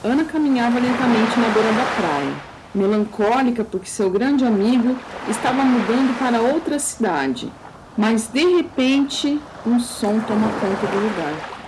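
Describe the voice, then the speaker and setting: A woman's voice: a little high, lively intonation, measured pace, very noisy. A woman with a Brazilian accent giving scripted, dramatic storytelling narration.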